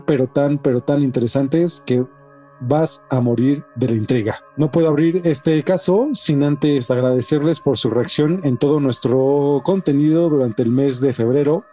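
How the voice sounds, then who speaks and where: Male podcast host, Mexican accent, mellow timbre, casual emphatic storyteller, light music bed. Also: a little high. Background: faint music.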